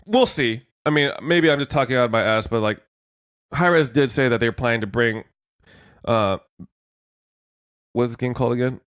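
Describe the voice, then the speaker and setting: Young man, congested, casual rambling, mid pitch, dry close mic. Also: moderate pace, long pauses, fairly bright tone, dry recording. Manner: rambling, lots of fillers and swearing. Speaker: podcast co-host. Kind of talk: casual gaming podcast chat.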